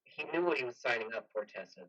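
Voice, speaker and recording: A man playing a defensive, patronizing army major in a play reading, measured, evasive and matter-of-fact. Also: mid-range voice, animated, pushed and bright, muffled recording. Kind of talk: play reading, modern drama.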